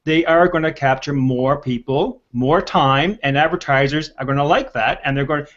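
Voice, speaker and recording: A man in his sixties hosting a casual panel, hesitating often, over a lo-fi video call. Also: fairly high pitch. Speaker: host of a panel video show. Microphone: headset on a video call. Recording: dull lo-fi video call audio.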